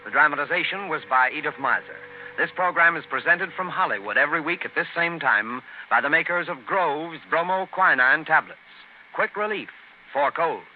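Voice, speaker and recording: A man's voice: on the high side, normal speed. A man with an American accent announcing in scripted 1930s radio style, hosting and reading sponsor messages. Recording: tinny, noisy 1930s radio broadcast.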